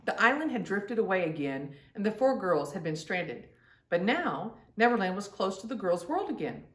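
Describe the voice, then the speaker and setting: A woman's voice: mid-range voice, sing-song, normal speed, a bit bright. Middle-aged woman reading aloud, roomy sound. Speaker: storytime host reading aloud. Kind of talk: read-aloud children's storytelling. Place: indoor room at home. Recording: roomy, distant-mic home recording.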